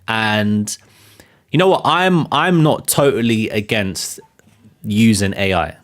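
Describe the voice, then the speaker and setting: A man with a fairly low voice hosts a live film chat, thinking out loud as he goes. He keeps circling back with fillers and restarts, and his delivery stays loose and conversational. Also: slow. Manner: rambling, many fillers and restarts. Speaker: show host. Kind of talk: live casual commentary.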